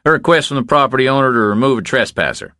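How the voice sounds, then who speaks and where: A man's voice: medium pitch, lively intonation, quick pace, bright, forceful tone, no echo, studio quality, pitched above the performer's own voice. A man voicing a blunt young Texas Ranger sergeant in an audiobook, clipped, authoritative and unyielding. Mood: officious, firm.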